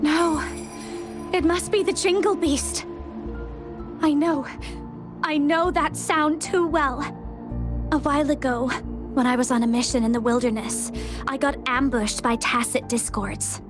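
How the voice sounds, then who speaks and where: A woman's voice: high-pitched, steady tone, measured pace, long pauses, music in the background. A woman voicing an anxious, earnest young explorer in a video game. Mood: fearful.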